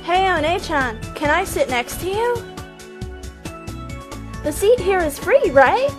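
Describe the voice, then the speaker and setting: Brief: woman playing a playful schoolboy, very high voice, slow drawn-out chanting, music behind. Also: big pitch swings, full character voice. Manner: playful, teasing, sing-song chant. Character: cheerful, friendly elementary-age boy. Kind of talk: amateur fandub voice acting.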